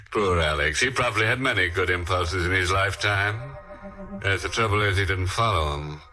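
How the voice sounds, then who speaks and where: A man hosting a 1940s radio mystery with wry, theatrical, mock-sympathetic dark humor. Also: low-pitched, unhurried. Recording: old radio recording with organ music.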